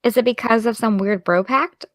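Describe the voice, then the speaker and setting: A woman's voice: on the high side, normal speed, no room sound, clean studio sound, natural voice. A woman playing a needling, indignant young American woman in an audio drama, petulant and provoking. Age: young adult.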